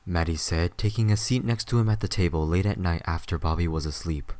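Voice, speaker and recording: A man's voice: low-pitched, a little fast, darkish tone. A man narrating a scripted story as the narrator of an audio drama.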